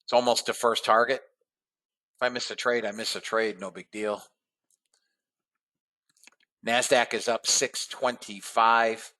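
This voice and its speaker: A man hosting a live trading webinar, talking casually to his viewers and calling them guys and fellas, a bit hoarse. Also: medium pitch, flat delivery, unhurried, pauses often, sharp tone. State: slightly hoarse voice. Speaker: trading room host.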